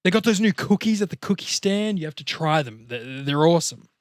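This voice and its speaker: A man's voice: fairly high pitch, expressive, brisk, dry recording, studio quality, pitched above the performer's own voice, lightly acted. A man playing a devastated, freshly dumped young slacker with a downcast, wisecracking manner in a table read of a film comedy. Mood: upset, incredulous.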